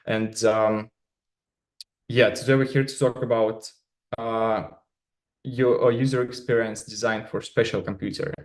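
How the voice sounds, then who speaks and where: A man with a Russian accent gives a remote conference presentation in English over a video call. His delivery is halting, full of fillers and restarts, and his pitch stays level and even throughout. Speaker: conference speaker.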